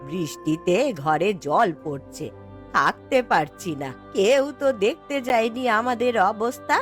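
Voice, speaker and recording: A woman's voice: mid-range voice, measured pace, lightly acted. A woman playing a poor, proud old village grandmother in a fairy-tale cartoon, speaking in an emphatic, indignant way. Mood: aggrieved pleading. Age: older. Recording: background music throughout.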